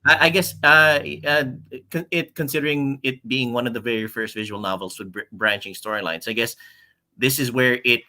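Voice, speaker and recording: Bright-voiced man chatting haltingly. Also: mid-range voice. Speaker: podcast co-host. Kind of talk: casual panel chat. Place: online live stream call.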